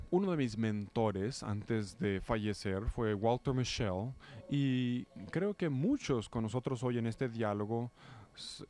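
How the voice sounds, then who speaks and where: A man working as a live interpreter of a talk, phrasing haltingly and correcting himself. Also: medium pitch, darkish tone. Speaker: simultaneous interpreter.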